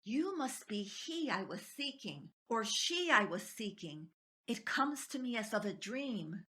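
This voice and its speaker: A woman reciting poetry expressively, phrase by phrase in a measured way. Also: high voice, pushed and bright. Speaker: poetry reader.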